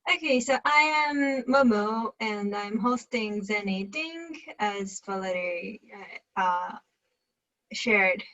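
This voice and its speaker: A woman from Japan with a Japanese accent, answering interview questions on a video call and often pausing to search for words. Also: mid-range voice, lively intonation, bright, forceful tone, highs cut off. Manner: halting, searching for words with fillers. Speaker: guest, Zen eating workshop host.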